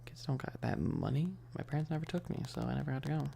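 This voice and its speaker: A livestream host chatting casually and informally in a soft, close whispery ASMR delivery into a binaural mic, rambling with teasing asides to chat. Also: medium pitch, lively intonation, fast, gravelly, a little hiss or hum. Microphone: close binaural microphone. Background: tapping and keyboard clicking.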